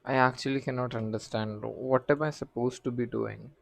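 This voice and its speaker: A man's voice: medium pitch, fairly even intonation, moderate pace, vocal fry. A man chatting casually on a game livestream, thinking aloud in a hesitant, puzzled way. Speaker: game streamer. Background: faint game music.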